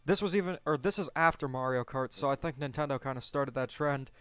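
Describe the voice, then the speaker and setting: A man's voice: mid-range voice, a little fast, a bit bright, clean tone, dry recording, muffled recording. A man co-hosting a film discussion in a casual, conversational style.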